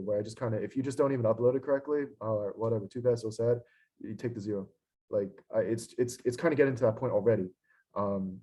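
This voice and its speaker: A young man with a hoarse voice teaches a class over a video call. He scolds the students in a frustrated way, filling his talk with "um" and "like." The sound is that of a computer microphone. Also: mid-range voice, warm tone. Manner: scolding, frustrated, many fillers. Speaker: instructor. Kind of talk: online lecture. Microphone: computer mic on a video call.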